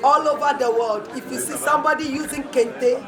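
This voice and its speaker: A woman's voice: high voice, normal speed, distant mic, a crowd in the background. A woman from Ghana with a Ghanaian accent, proudly presenting her goods at a trade fair. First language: English. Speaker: exhibitor. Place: crowded trade fair hall. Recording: echoey crowded fair from afar.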